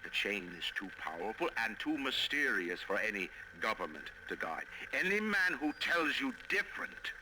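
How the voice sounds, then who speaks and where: A man's voice: on the low side, very animated, moderate pace, hardly any pauses, noisy background. A man playing a proud, commanding industrialist who delivers a grandiose, emphatic speech in a video game. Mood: fervent conviction. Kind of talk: recorded political oration in a video game.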